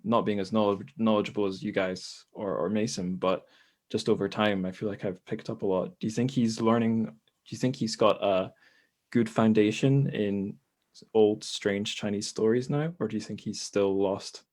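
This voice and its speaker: A man's voice: fairly low pitch, fairly even intonation, normal speed, darkish tone. A man hosting a casual podcast panel, thinking aloud in a conversational way. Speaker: podcast host.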